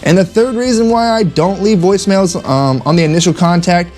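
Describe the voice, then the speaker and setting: A man with a fairly high-pitched voice speaks in a casual vlog style, coaching the listener. His delivery is conversational and emphatic, and he often repeats a phrase to make it stick. Music plays behind him. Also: brisk, a little mellow. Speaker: insurance agent giving sales tips. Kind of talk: casual instructional vlog monologue.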